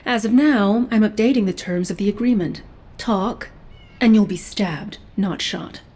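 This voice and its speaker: An actress playing a stern but quietly caring female special forces agent in video game dialogue, measured, dry and matter-of-fact. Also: mid-range voice, close to an everyday voice.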